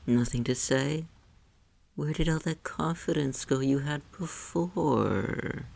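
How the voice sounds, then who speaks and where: An ASMR roleplay performer voicing a haughty, possessive demon who condescends to and teases a human captive, often in a breathy whisper. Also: mid-range voice, slow pace, darkish tone, exaggerated voice. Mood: teasing, menacing. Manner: condescending, teasing, imperious, theatrically formal.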